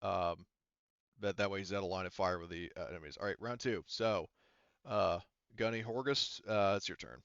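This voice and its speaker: A man's voice: low voice, moderate pace, a bit bright, clear, resonant tone, no echo. A man speaking casually and profanely as game master of a tabletop role-playing game, in slightly muffled audio.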